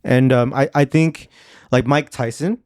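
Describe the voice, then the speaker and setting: A man hosting a podcast, talking in a relaxed, chatty way that is full of "like" and "you know". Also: medium pitch, a little slow, no room sound, studio quality. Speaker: podcast host. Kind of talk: casual chat. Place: podcast studio.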